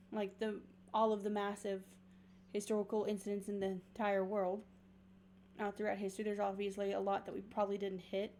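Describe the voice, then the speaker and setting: A woman's voice: medium pitch, darkish tone, a little hiss or hum. A young adult woman co-hosting a podcast, chatting casually and asking her co-host questions. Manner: chatty, asks questions, steers the talk. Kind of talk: casual chat.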